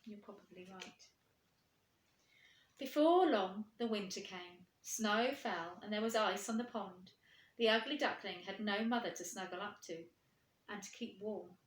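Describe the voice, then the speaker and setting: A woman reading a storybook to children, expressive and putting questions to her young listeners. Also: medium pitch, measured pace. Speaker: primary school teacher reading aloud. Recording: echoey room.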